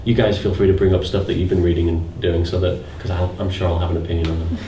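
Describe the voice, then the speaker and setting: Quick lowish-voiced man in a roomy space. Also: little pitch movement, mellow. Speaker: podcast panel member. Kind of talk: casual chat. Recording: roomy, distant mic, faint noise.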